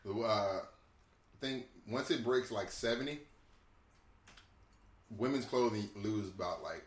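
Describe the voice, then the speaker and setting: A man's voice: lowish voice, a little slow. A man co-hosting a casual podcast chat, laid-back and agreeable. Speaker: podcast co-host. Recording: roomy echo.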